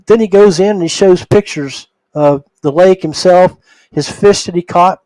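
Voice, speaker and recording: High-pitched older man hosting a podcast. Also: moderate pace, mellow, no echo, low-quality recording. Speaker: podcast host. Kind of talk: casual podcast chat.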